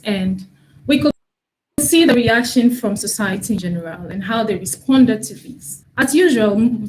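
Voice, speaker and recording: A woman's voice: medium pitch, a little hiss or hum, rough recording. A woman campaign lead speaking earnestly in a studio interview, with a few fillers and restarts.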